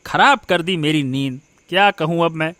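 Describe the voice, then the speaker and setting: A man's voice: fairly high pitch, normal speed, bright, forceful tone. A man playing a harsh, irritable, bullying husband in a cartoon moral story, scolding with sarcastic questions. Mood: irritated. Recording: music behind the voice.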